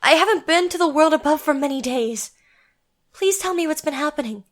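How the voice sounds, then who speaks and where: Girl acting as a shy young soprano in an audio drama, really high voice, polite and hesitant. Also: expressive, brisk. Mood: pleading. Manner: hesitant, deferential. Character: timid, polite young female opera singer.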